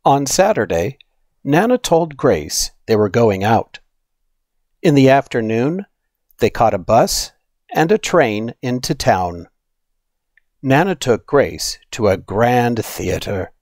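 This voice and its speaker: A man reading a children's story aloud in an expressive, deliberate storytelling manner. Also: medium pitch, no echo, clean studio sound. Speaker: storybook reader.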